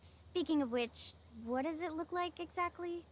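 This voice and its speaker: A woman's voice: very high voice, normal speed. An actress playing an upbeat, friendly young woman adventurer in a video game, talking in an encouraging, conversational way. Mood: encouraging, determined.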